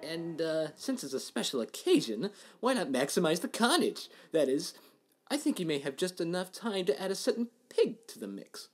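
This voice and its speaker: Man playing a wildly animated, theatrical cartoon troublemaker. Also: a little high, moderate pace, breathy edge. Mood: gleeful bravado. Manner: theatrical, sardonic, wordy. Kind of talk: animated comic dub acting.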